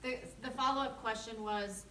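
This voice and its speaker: Woman running a meeting, flat steady voice, far from the mic in an echoey room with clear background noise. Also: on the high side, normal speed. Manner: loud, relays questions clearly. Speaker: meeting facilitator. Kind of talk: public meeting chair.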